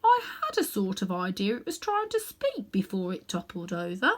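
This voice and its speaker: A woman voicing a sensible badger in a storybook, slow and deliberate. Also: mid-range voice, wildly swooping pitch. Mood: careful.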